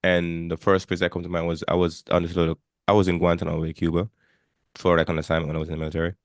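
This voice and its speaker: Guy podcast host, low voice, talks quick, repeats himself, loose casual chat. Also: monotone, no echo, studio quality.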